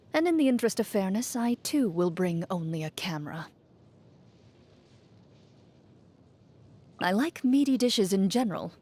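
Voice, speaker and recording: A woman playing a composed, principled champion duelist in a video game, speaking in a measured, formal, dryly understated manner. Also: mid-range voice, warm tone, close to an everyday voice. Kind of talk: video game character voice lines. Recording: music behind the voice. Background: music.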